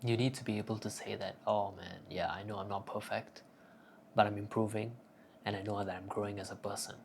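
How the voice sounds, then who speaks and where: A man's voice: on the low side, level tone, fairly quick, gravelly, a little hiss or hum. A young man giving casual self-improvement advice in a cocky, profane, joking manner. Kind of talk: casual crude advice monologue.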